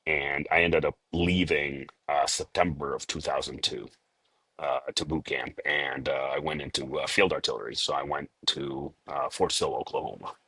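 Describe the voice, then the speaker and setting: Very deep-voiced man with a Boston accent. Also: fairly even intonation, sharp tone, husky, no room sound. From Liberia. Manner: halting, many fillers and restarts. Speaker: interview guest. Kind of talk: casual interview talk.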